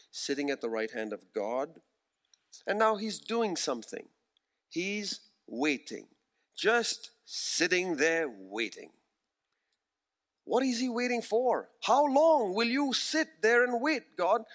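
A man in his forties preaching a sermon, earnest and emphatic, slowing down to repeat key phrases. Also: a little high, a bit bright. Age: 40s.

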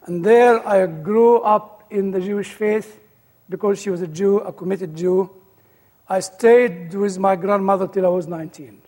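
A man's voice: high-pitched, fairly even intonation, measured pace, a little mellow, big-room sound. A man with an Egyptian-British accent, an older guest speaker giving his personal testimony with dry humor.

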